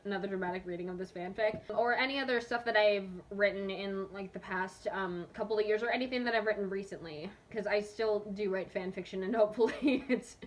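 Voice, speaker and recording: A young adult woman hosting a dramatic reading, self-mocking and breaking into amused asides and laughter. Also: mid-range voice. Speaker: host reading own old fanfiction.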